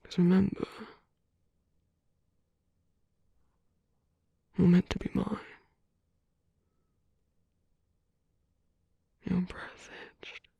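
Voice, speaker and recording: A woman performing an ASMR roleplay as a suave, teasing, flirtatious villain, in a breathy, husky half-whisper with slow, coaxing murmurs. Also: mid-range voice, monotone, mellow, no room sound, dull audio. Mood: possessive, teasing. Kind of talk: ASMR roleplay, intimate scripted monologue.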